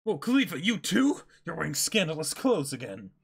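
A man's voice: a little high, sing-song, a little fast, gravelly, slightly rough, exaggerated voice. A man voicing a prudish, indignant shipyard workman in a scolding, exclaiming manner for a comic dramatic reading.

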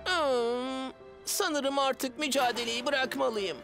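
Very high-pitched boyish little cartoon bus. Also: female voice, slow, over music. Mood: sulky, dejected. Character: eager, boastful young little cartoon bus, boy. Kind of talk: animated children's cartoon dubbing.

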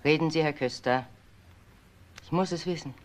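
A woman's voice: sits low, moderate pace, close to an everyday voice. An actress playing an anxious, insistent elderly mother with a direct manner in a crime radio drama. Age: older.

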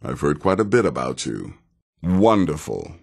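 Very deep, sardonic veteran assassin. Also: male voice, very animated, vocal fry, heavily acted. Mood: businesslike, wry. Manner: dry, sarcastic, deliberate delivery. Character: sardonic, world-weary veteran male assassin of a dark guild. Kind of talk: scripted fantasy video game dialogue.

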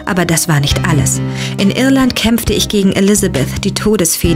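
A woman playing a world-weary young demon hunter and high school student in a German audio drama. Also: close to an everyday voice. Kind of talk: first-person audio drama narration and dialogue. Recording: music under the voice. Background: music.

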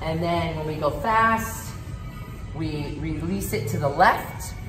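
A middle-aged woman, a cycling instructor, explaining bike setup in a brisk, matter-of-fact way. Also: deep voice. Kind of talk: casual demonstration. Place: large gym cycling studio, indoors. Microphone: handheld phone camera. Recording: echoey studio room with music. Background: music playing.

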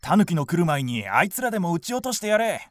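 A man playing a hot-headed, vengeful village hunter in a radio drama, rough and blustering. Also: sits high, very animated, fast talker, dry recording. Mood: cocky, determined.

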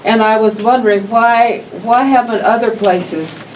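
An older woman, a county justice of the peace, speaking loudly and plainly at a public meeting and reading out figures. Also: on the high side, measured pace. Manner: loud, plainspoken, reads figures aloud. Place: county meeting room, around a long table. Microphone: table microphone, camera across the room. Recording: distant mic, faint room noise.

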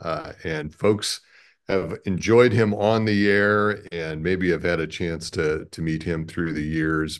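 Man, fifties, deep voice, American accent, from the Midwest, interview guest, conversational. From Chicago, American Midwest. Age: 50s. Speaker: radio network president, interview guest. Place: indoor room, on a video call.

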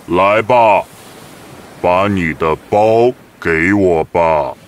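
Menacing scarecrow played by a man, slow and demanding, children's story, noisy recording. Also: deep voice. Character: menacing, impatient male scarecrow guarding flowers. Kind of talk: children's audio story acting.

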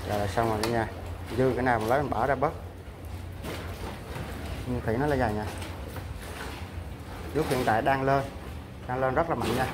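A man's voice: medium pitch, steady tone, a little fast, pauses often. A man in his thirties from Vietnam, fishing from a small boat by a pier and chatting casually to viewers about his gear and the water. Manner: chatty, keeps addressing viewers as family. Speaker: fishing vlogger. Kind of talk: casual vlog narration. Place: small boat under a pier by the sea, outdoors. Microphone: action camera held at arm's length. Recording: noisy outdoor camera audio. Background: wind, water and boat noise.

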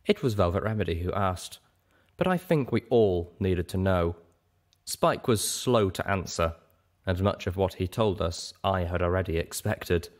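Male narrator, low register, animated range, for scripted fiction reading. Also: measured pace. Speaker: audiobook reader and podcast host.

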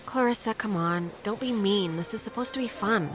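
A woman's voice: mid-range voice, normal speed, over music, lightly acted. A woman with an American accent playing a wry, protective teenage girl, speaking casually in a naturalistic video game performance. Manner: dry, sardonic, conversational.

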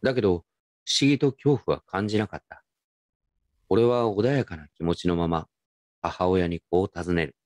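A man's voice: low-pitched, moderate pace, long pauses, darkish tone, no room sound. A man giving scripted first-person narration for a radio drama.

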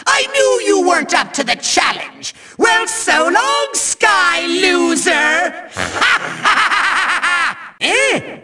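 Pint-sized game villain: pompous and tantrum-prone, sharp gravelly screech, drawn-out theatrical menace. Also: male voice, very animated, soft background music, exaggerated voice. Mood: gloating, bellowing. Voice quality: shrill, gravelly. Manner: theatrical, grandiose, sneering, cackling. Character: short bald megalomaniac cartoon villain, pompous and tantrum-prone. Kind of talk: video game villain voice lines.

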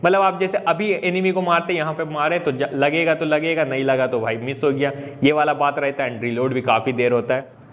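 Young Indian man, upbeat gaming YouTuber, slightly high voice, chatty and excited. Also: brisk. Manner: upbeat, chatty, mixes English words into Hindi. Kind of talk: excited gameplay update commentary.

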